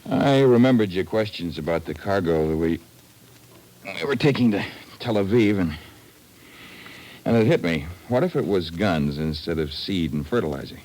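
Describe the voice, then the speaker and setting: An actor playing an earnest, defensive wounded airline man in a 1950s radio drama, halting yet emphatic. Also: deep voice, lively intonation, darkish tone, low-quality recording.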